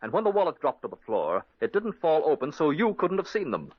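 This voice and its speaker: An actor playing a shrewd, confident detective who explains a case in old-time radio drama style. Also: male voice, a little high, wildly swooping pitch, brisk, breathy edge, no echo, full character voice. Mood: confident, triumphant. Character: shrewd, self-assured male detective explaining a smuggling case. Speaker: detective and story narrator in a radio mystery. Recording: dull lo-fi old radio recording.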